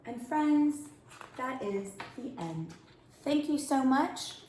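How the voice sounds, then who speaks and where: A woman's voice: sits high, lively intonation, takes it slowly, a little mellow, slight background noise. A woman working as a storyteller reads a picture book aloud expressively, addressing young children. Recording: roomy, echoing indoor sound.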